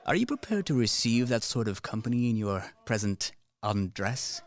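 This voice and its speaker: A man's voice: mid-range voice, sing-song. Man playing cynical, threatening fugitive soldier. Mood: wry, menacing. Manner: brisk, commanding, sardonic. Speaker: actor in a student radio play. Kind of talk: radio play acting.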